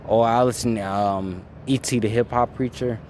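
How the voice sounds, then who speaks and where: A man in his twenties being interviewed, talking in a laid-back, casual way and often trailing off softly. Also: medium pitch, fairly even intonation, moderate pace, a little hiss or hum. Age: 20s.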